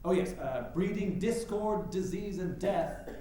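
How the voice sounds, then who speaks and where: A man's voice: high voice, a little slow, warm tone. A man playing a persistent, flustered nineteenth-century newspaper reporter in a staged reading, hesitant yet pressing and politely needling. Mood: declamatory, needling. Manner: hesitant yet pressing, declamatory when quoting. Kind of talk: staged reading, theatrical period dialogue. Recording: very echoey room, faint background noise.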